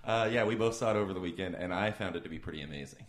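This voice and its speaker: A man's voice: low voice, a crowd in the background. A man chatting as a podcast guest, bantering and poking fun at himself. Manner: quick, self-deprecating banter. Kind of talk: casual chat.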